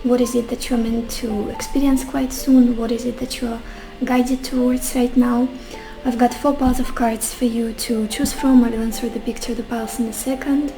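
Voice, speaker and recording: A woman, a little sick and losing her voice, giving a gentle tarot reading to her viewers and musing aloud with soft hesitations. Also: a little high, little pitch movement, moderate pace, few pauses, music in the background, poor audio. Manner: gentle, addresses viewers warmly as beautiful souls. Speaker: tarot reader.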